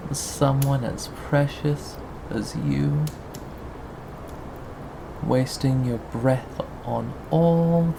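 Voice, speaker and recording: A performer in an intimate ASMR roleplay voicing a possessive, sweetly menacing captor, coaxing and teasing with pauses and sighs. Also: mid-range voice, creaky, background noise. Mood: possessive menace.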